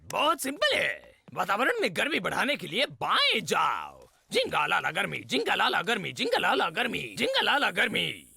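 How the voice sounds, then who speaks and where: Gravelly high-pitched cartoon man. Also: huge pitch swings, moderate pace, hardly any pauses, bright, forceful tone, heavily acted. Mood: playful instructing. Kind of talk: Hindi cartoon dub.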